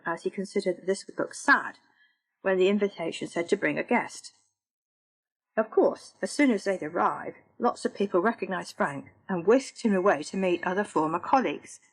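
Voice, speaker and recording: A woman author reading her own book aloud in a measured, even storytelling manner. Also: mid-range voice, poor audio. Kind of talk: audiobook narration.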